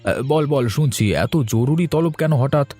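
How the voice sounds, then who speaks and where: A man's voice: on the high side, moderate pace, dark tone, faint music behind, close to an everyday voice. A man voicing a stingy, irritable middle-aged father in a cartoon, curt and grumbling. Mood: dismissive. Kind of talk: cartoon voice acting.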